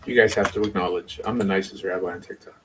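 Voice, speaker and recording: A man's voice: deep voice, steady tone, quick pace, noisy background. A man speaking casually as a rabbi and livestream host, rambling and opinionated in an informal way. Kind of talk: casual livestream chat and debate.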